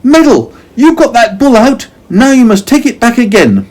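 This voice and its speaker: A man voicing a frantic farm wife who shrieks and panics in a very high voice. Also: huge pitch swings, normal speed, darkish tone, heavily acted. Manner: screeching and panicky.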